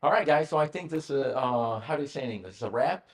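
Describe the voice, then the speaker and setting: A man with an Italian accent, Italian his first language, hosting a casual office podcast chat, animated and joking, with many fillers. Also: mid-range voice, fast talker. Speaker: podcast host.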